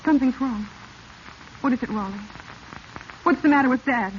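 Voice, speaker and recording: Brave, earnest young woman, female actor, carefully spoken old radio drama style, raised pitch, dull recording with faint hiss. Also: moderate pace, natural voice. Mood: anxious grief. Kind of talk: 1940s radio acting.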